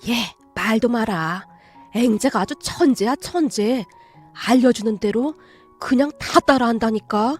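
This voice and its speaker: A woman voicing an old grandmother who speaks low and hushed, with sly warmth. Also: measured pace, faint music behind. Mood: proud. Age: older.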